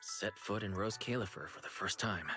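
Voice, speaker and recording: A man's voice: lowish voice, normal speed, sharp tone, faint music behind. A man voicing a friendly, modest young male character in an anime-style game.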